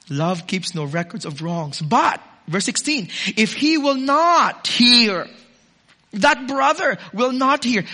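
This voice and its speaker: A man preaching a sermon as pastor, moving from loud urging to soft, close asides. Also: high-pitched.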